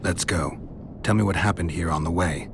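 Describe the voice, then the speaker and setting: An actor playing a stoic, world-weary monster hunter in a video game, terse and deadpan with a gravelly voice. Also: male voice, sits low, normal speed, background noise. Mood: dry.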